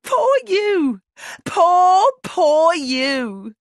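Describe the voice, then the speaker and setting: A woman's voice: extremely high pitch, big pitch swings, drawn-out words, no echo, clean studio sound, full character voice. An actress playing a spiteful townswoman in a video game, drawling theatrically with mocking false pity. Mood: gloating. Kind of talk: video game character acting.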